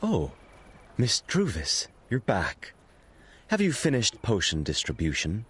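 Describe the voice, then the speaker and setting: A man playing an obliging relief worker in a video game, with a husky voice and polite manner. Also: on the low side, huge pitch swings, takes it slowly, a bit bright, heavily acted. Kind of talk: scripted video game voice acting.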